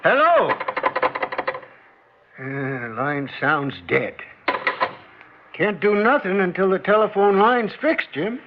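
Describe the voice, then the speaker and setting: An actor playing a gruff, anxious old diner owner and grandfather in a 1950s radio drama. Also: sits high, sing-song, slow pace, long pauses, noisy background, exaggerated voice. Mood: agitated gruff. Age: older. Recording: dull old radio recording.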